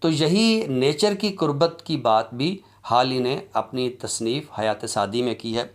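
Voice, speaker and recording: A man giving an academic lecture in a deliberate, explanatory way, with halting pauses. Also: medium pitch. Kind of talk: academic lecture on literary criticism.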